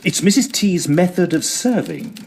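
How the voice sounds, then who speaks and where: A man's voice: high voice, expressive, normal speed, a little mellow, a little hiss or hum. A man playing a fussy, genial Edwardian estate agent in a tongue-in-cheek audio drama, speaking in wordy, formal, old-fashioned phrases. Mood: chatty, fussy. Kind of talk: tongue-in-cheek period audio drama, first-person storytelling and dialogue.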